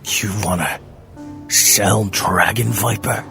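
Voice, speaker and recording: Guy playing low gravelly hired thug, blunt n suspicious, audio drama w music behind. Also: takes it slowly. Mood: guarded. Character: gruff, wary hired criminal enforcer.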